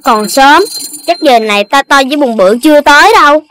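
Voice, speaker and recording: Very high-voiced cartoon animal child. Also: female voice, lively intonation, brisk, a bit bright. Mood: resigned. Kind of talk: Vietnamese children's cartoon dubbing.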